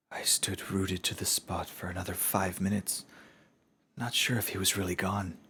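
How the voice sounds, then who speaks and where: Man, low-pitched, slow, husky and breathy, solemn audiobook narrator, dramatic storytelling. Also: a bit bright, a little hiss or hum.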